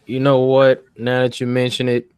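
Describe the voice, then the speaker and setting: A man's voice: mid-range voice, monotone, moderate pace, a little mellow, clear, resonant tone, dry recording. A man from Arkansas talking in a laid-back, hesitant way in a casual podcast chat. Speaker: podcast host.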